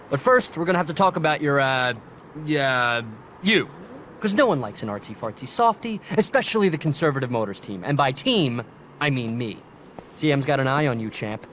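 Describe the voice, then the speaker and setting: A man's voice: expressive, normal speed, a bit bright. An actor playing a pushy, smug, greedy businessman uncle in a cartoonish racing game, talking down like a salesman. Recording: clear background rumble and ambience.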